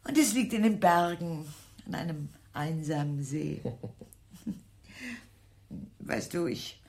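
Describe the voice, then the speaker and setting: An actress playing a scatterbrained, effusive older aunt in a German radio drama, halting and repeating herself with dramatic sighs. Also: female voice, sits low, lively intonation, gravelly, darkish tone, full character voice. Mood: excited.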